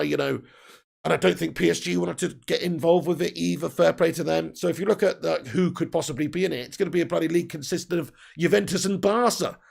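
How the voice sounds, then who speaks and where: Croaky, gravelly man ranting. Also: a little high. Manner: heated, sweary ranting with laughs. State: croaky, tired voice. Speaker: football fan channel host. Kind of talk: live stream chat reading viewer comments.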